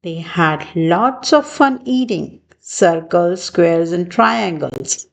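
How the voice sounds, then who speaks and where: A woman reads a children's storybook aloud at a very slow pace. Her pitch is lively and swoops expressively, with deliberate phrasing meant for young listeners. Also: gravelly. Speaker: children's book reader.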